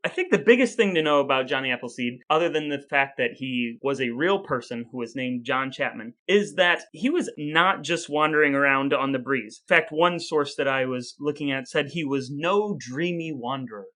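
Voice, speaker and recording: Bright-voiced man co-hosting a podcast. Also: mid-range voice, lively intonation, normal speed, few pauses. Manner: explains at length, asks his brother questions. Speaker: podcast co-host. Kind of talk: casual chat.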